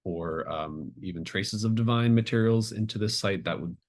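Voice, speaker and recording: A man acts out a nervous institute clerk with a low voice. He is officious yet apologetic, stumbling and correcting himself as he goes, in a tabletop game. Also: a little mellow, close to an everyday voice. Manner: halting, apologetic, self-correcting. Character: flustered, hesitant male institute clerk, stammering and officious.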